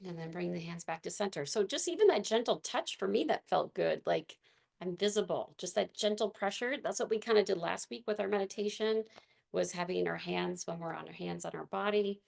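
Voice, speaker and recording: A woman with a raspy voice leading a gentle movement and meditation class, giving soft, unhurried instructions with fillers. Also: fairly low pitch.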